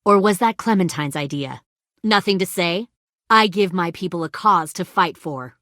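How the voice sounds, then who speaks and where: A woman's voice: lowish voice, moderate pace, a bit bright, no echo, clean studio sound, natural voice. An actress voicing a ruthless, hardened woman who leads a survivor militia in a video game drama, speaking in a controlled, menacing and persuasive way. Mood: calm, coaxing.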